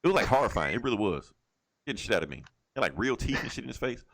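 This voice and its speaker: A man speaks as a podcast co-host with a mid-range voice and very lively pitch swings. He is blunt and irreverent, swearing freely as he chats casually about entertainment. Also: racing, vocal fry. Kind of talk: casual, profane movie chat.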